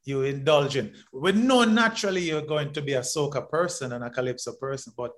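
A man's voice: mid-range voice, fairly quick. A man hosting a podcast, eagerly asking long, roundabout questions and often repeating words. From Trinidad and Tobago. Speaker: podcast host. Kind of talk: casual interview chat.

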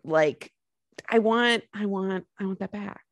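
A woman's voice: medium pitch, unhurried, dry recording. A woman co-hosting a podcast, chatting casually and jokingly about a film, with lots of fillers. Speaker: podcast co-host.

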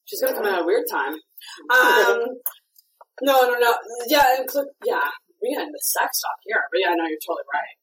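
A woman with a loud voice, talking casually in blunt, sweary rants among a group. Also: fairly high pitch, moderate pace. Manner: blunt, animated, swearing freely. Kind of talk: casual ranting banter. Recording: roomy, distant mic, faint background noise.

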